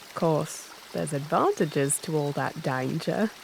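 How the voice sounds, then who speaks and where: Fantasy audio drama: folksy young female servant, slightly low pitch, leisurely pace, tender, guarded, plainspoken. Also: animated, unhurried, gravelly, background noise, full character voice. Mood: gentle, musing. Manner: rustic, folksy, gentle. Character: earthy working-class young woman, wary but tender.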